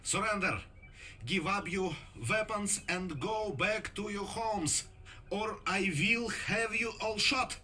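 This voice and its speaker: An actor playing a threatening, haughty Soviet army captain in a video game. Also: male voice, high voice, slow, sharp tone, a little hiss or hum, heavily acted. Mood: angry, commanding. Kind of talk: video game cutscene acting.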